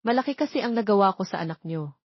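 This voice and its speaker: A woman's voice: mid-range voice, animated, quick pace, breathy edge, no room sound, dull audio. A Filipino woman voicing a polite, earnest, caring young man in a romance audiobook. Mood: polite, apologetic.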